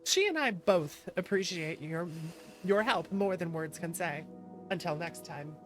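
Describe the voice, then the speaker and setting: A woman plays an earnest detective thanking a stranger by letter in a game. The voice is a little low and lightly formal. Game music plays clearly underneath. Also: expressive, measured pace.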